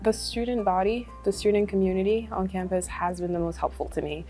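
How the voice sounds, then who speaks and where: A woman's voice: medium pitch, fairly quick, dark tone. A woman in her twenties from Congo, a medical student, talking casually with many fillers and frequent laughs. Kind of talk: testimonial interview. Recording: faint music behind.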